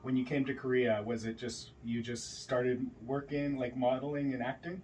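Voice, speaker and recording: A man's voice: medium pitch, little pitch movement, normal speed, far from the mic, a little hiss or hum, low-quality recording. A man hosting a casual podcast interview at a friend's home, chatty and joking, with lots of fillers. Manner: loud, jokey, filler-heavy with many yeahs. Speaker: podcast host.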